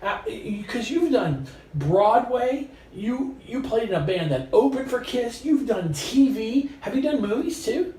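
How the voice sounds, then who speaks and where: A podcast host breaks in with short reactions and guiding questions, and the pitch moves around a lot. The voice sits at a distance from the mic in a room with plenty of echo. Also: sing-song, a little slow, warm tone. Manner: brief interjections, guiding questions. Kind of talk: casual interview.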